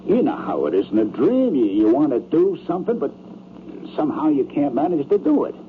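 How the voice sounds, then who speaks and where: An actor playing a blunt, uneasy rich man in an old-time radio drama, with halting, defensive, streetwise speech. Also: on the high side, sing-song. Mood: earnest confiding. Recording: tinny, noisy old radio broadcast.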